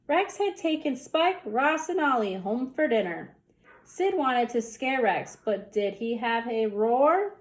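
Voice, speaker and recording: A woman reading a children's story aloud, with deliberate, theatrical emphasis on the action words. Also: a little high, expressive, poor audio. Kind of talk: expressive bedtime story read-aloud.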